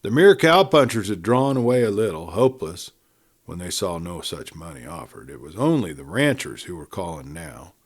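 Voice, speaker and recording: A man reading a western novel aloud as an audiobook narrator, with expressive, dramatic storytelling. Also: sits low, normal speed, slightly rough.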